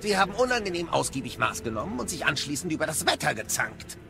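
Casting: sardonic old-world sage, highish creaky voice, wordy grumbler, audio drama over loud music. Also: male voice, very animated, quick pace. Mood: wry relief. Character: Mimir, wry grumbling wise male advisor. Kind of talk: dramatic video game dialogue as audio drama.

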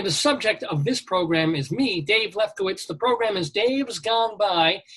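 Middle-aged male radio host with a husky voice; wisecracking news reader. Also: sits high, fairly bright tone, low-quality recording. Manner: jokey, reads news items with quips. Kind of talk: comedic news chat.

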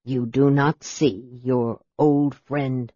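A proud young wizard acted by a male narrator speaks with quiet intensity and formal courtesy. Every word is slow and deliberate, in a roomy, slightly dulled recording for a fantasy audiobook. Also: mid-range voice, fairly even intonation, breathy edge, voice pushed down. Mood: solemn, intense. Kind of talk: audiobook character voice. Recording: roomy echo, slightly dull sound.

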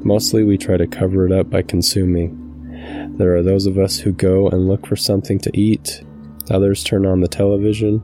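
A man guiding a meditation from a script in a calm, measured, soothing way. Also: deep voice, soft background music. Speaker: meditation guide, channel host. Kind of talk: scripted guided meditation narration.

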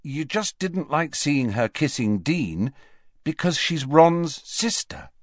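A man's voice: a little high, lively intonation, slow pace, dry recording, studio quality, pitched above the performer's own voice. Man voicing an uneasy teenage boy, slightly raised. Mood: troubled. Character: uneasy teenage boy trying to keep peace.

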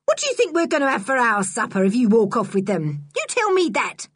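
A woman voicing a bad-tempered, spiteful housekeeper who snaps and scolds in rough, ungrammatical speech. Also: a little high, huge pitch swings, a little fast, dry recording, clean studio sound, voice pushed up. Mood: sharp. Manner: yelling, snappish, ungrammatical working-class speech.

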